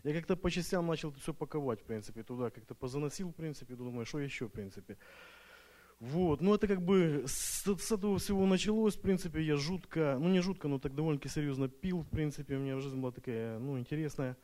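A man's voice: fairly high pitch, fast, warm tone. A man speaking Russian as a second language, giving a nervous, hesitant church testimony full of fillers and restarts. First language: not Russian. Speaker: retreat participant giving testimony.

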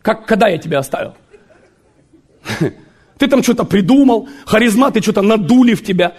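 A man preaching a sermon, joking and animated, with sudden shouts and laughter. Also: high voice, moderate pace.

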